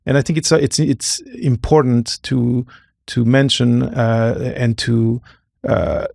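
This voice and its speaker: A man hosting a conversational podcast interview, often halting and rephrasing as he goes. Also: medium pitch, steady tone, vocal fry, darkish tone, no room sound, studio quality. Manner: halting, rephrases and repeats phrases. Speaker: podcast host.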